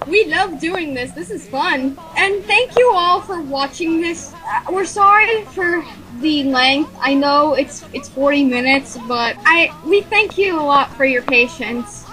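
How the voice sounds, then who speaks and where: A woman's voice: high-pitched, lively intonation, unhurried, sharp tone, low-quality recording. A woman co-hosting a casual commentary video, speaking simply and agreeably. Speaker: co-host.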